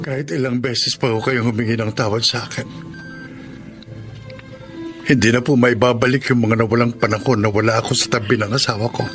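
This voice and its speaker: An actor playing a wounded, bitter husband in a Filipino radio drama, with an emotional, melodramatic delivery. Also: male voice, medium pitch, steady tone, fairly quick, pauses often, over music, close to an everyday voice. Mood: hurt, subdued.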